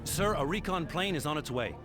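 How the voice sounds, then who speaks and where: A man playing a fast-talking dutiful soldier, loud music. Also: a little high, lively intonation, close to an everyday voice. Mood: urgent. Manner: clipped, urgent reporting. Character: brisk, alert, dutiful male soldier reporting to his commander. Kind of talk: video game battlefield reports.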